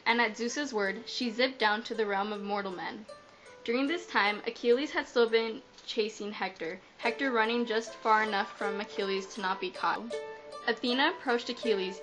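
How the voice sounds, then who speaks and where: A woman's voice: a little high, normal speed, a bit bright. A woman narrating a scripted story as a storyteller, on a lo-fi recording. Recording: dull lo-fi sound, music behind.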